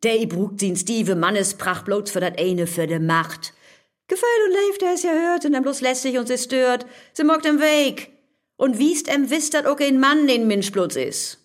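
A woman playing a brash, nosy, sharp-tongued girlfriend in a radio play, speaking rhymed northern Low German dialect. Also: moderate pace, lightly acted. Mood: scornful.

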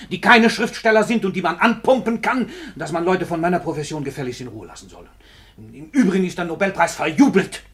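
An actor playing an imperious, irritable, self-important famous author in a radio play, pompous and brusque. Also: male voice, high-pitched, fairly bright tone, husky, heavily acted. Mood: haughty, impatient.

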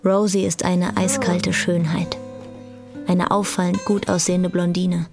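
A woman narrating a scripted German radio drama in a cool, deliberate manner. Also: on the low side, level tone, creaky, over music.

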